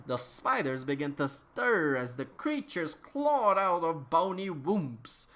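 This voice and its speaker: A man's voice: fairly high pitch, very animated, sharp tone. Man giving a theatrical dramatic story reading. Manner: theatrical, drawn-out delivery with mock gravity. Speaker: narrator.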